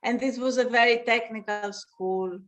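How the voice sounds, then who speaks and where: A woman from Greece, a dancer and dance teacher, answering interview questions over a video call and often pausing to search for words. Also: on the high side, steady tone, a bit bright. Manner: thoughtful, searching for words, frequent restarts.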